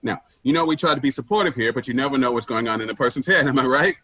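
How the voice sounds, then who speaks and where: A man playing a pushy, penny-pinching office boss in a staged dark comedy, blunt and self-important as he lectures. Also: medium pitch, racing, husky, dry recording. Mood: callous, flippant.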